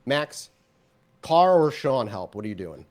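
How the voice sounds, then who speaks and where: A man with a medium-pitched voice narrates a tabletop roleplaying game as its storyteller. His delivery is animated and conversational, improvised as he guides the players. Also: unhurried.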